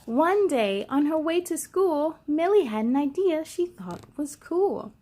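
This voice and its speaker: A woman hosting a children's storytime, reading a picture book aloud in an excited, expressive, giggly way. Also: high voice, unhurried.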